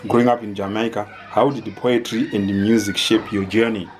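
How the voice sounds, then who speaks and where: A man hosting an interview, introducing and questioning in a formal, deliberate, halting way. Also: fairly low pitch, steady tone, soft background music. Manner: deliberate, halting, ceremonious phrasing. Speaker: host interviewer.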